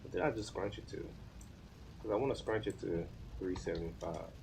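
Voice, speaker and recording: A man's voice: sits low, brisk, vocal fry, a little mellow, slight background noise. An American man in his thirties chatting casually on a live stream, informally thinking aloud as he works. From United States. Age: 30s. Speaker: live streamer learning web development.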